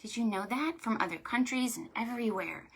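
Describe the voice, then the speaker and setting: A woman hosting storytime for preschoolers in an exaggerated sing-song way, encouraging them and pausing after her questions. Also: on the high side, breathy edge. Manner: sing-song, exaggerated delivery for small children. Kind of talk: interactive storytime for young children, counting rhymes.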